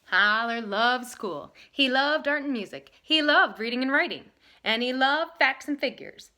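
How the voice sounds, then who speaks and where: A woman with a bright, slightly high voice speaks as a teacher at storytime. She is expressive and emphatic, and her pitch moves around a lot as she explains and reads aloud. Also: a little slow. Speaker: teacher reading a picture book aloud. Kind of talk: lesson talk and animated storybook reading.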